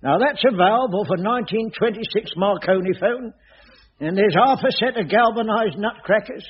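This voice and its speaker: A stingy old caretaker. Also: male voice, high-pitched, normal speed, slightly rough. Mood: boastful. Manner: bumbling, wheedling, boastful. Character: fussy aging male caretaker, stingy jobsworth. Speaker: sitcom lead character. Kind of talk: 1980s radio sitcom acting. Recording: hissy muffled old radio broadcast.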